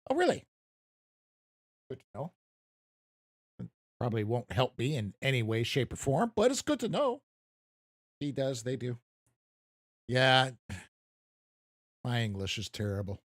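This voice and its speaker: A man's voice: medium pitch, very animated, measured pace, long pauses, no room sound, clean studio sound. An American man casually chatting while streaming a farming game, rambling and poking fun at himself. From United States. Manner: rambling, self-deprecating, chuckles at himself. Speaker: gaming streamer. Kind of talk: casual live stream commentary.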